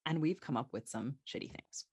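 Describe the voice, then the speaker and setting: A woman's voice: extremely low pitch, a little fast, dark tone, husky, no room sound, clean studio sound. An American woman co-hosting a podcast in casual, opinionated banter with a wry, informal manner. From United States. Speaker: podcast co-host.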